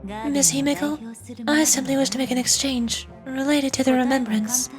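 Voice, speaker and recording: An enigmatic, elegant woman of memories, read aloud by a female player, speaks in a high voice with formal, theatrical poise. Music plays behind her game dialogue. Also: steady tone, moderate pace, close to an everyday voice. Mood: poised, alluring.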